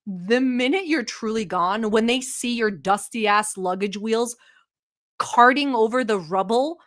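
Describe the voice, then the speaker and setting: A woman's voice: a little high, a little slow, fairly bright tone. A woman from Turkey hosting a live stream, giving blunt, mocking and profane commentary while talking to her chat. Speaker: livestream host reacting to a video.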